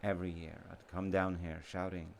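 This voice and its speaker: A man playing a sweet, slightly slow, sentimental childhood friend in an intimate audio roleplay, speaking gently and haltingly, with trailing pauses. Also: sits low, flat delivery, gravelly, slight background noise. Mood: fond reminiscing.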